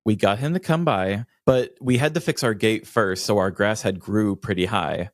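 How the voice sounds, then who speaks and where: Low-voiced American man, dry close sound. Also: normal speed, no room sound. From United States. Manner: self-deprecating, dramatic complaining. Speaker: podcast co-host. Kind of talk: casual comedic storytelling.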